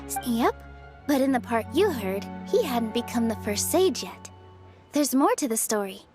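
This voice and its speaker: High-voiced gentle young dancer. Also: female voice, sing-song, normal speed. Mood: gentle storytelling. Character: gentle, sincere young female festival dancer. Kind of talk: anime-style game voice acting. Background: background music.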